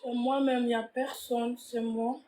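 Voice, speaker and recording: A woman's voice: fairly high pitch, little pitch movement, unhurried, warm tone, echoey room, low-quality recording. A woman singer, born in the Congo and raised in Burkina Faso, giving short, slightly hesitant answers as an interview guest. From Burkina Faso, born in Kinshasa. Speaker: guest musician.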